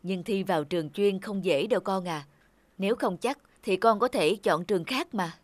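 Woman as a gentle, worried cartoon mother. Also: medium pitch, moderate pace, no room sound, natural voice. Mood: concerned, supportive. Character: gentle, worried mother comforting her daughter. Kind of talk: animated cartoon dubbing.

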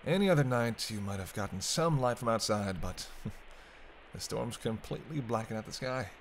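A man's voice: mid-range voice, lively intonation, normal speed, lightly acted. A man playing a sarcastic, teasing captive vampire in casual, sweary banter for an intimate roleplay audio drama. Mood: taunting. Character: teasing, sardonic male vampire held captive by a hunter. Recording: clear background ambience noise.

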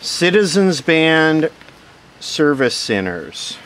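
A man giving casual, hands-on unboxing commentary, musing aloud in short phrases with pauses between them. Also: fairly high pitch. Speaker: vlogger unboxing vintage radio gear. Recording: steady background noise.

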